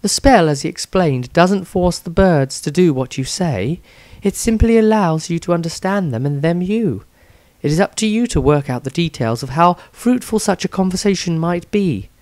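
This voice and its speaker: A man voicing an earnest, pious, compassionate woman diarist in a fantasy story, measured and proper. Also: on the high side, expressive, a little mellow, no echo, pitched above the performer's own voice, lightly acted. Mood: concerned, reflective.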